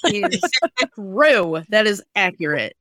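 A woman's voice: mid-range voice, big pitch swings, slow pace, pushed and bright, dry recording, studio quality. A woman co-hosting a podcast in casual, conversational chat, speaking with emphasis. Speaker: podcast co-host.

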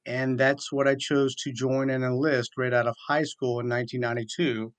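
A man in his forties speaks at a medium pitch as a guest in an interview. He tells his story earnestly and in detail, often circling back to restate a point. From southeastern Virginia, USA. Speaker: military chaplain, radio guest.

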